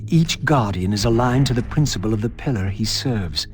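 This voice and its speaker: Man voicing a low, brooding undead wraith. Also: animated, moderate pace, a little mellow, a little hiss or hum, close to an everyday voice. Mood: solemn. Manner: formal, grave, literary phrasing. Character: brooding undead male wraith, once a noble vampire, narrating his quest. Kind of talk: scripted dramatic narration. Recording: music behind.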